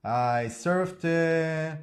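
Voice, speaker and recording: A young adult man from Israel, a podcast guest in casual chat, relaxed and halting, often repeating "yeah, yeah, yeah". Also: a little high, soft background music.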